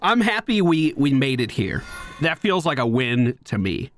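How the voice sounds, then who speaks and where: A man's voice: mid-range voice, big pitch swings, normal speed, slight background noise. A man streaming a video game with casual, chatty commentary, explaining things with playful slang.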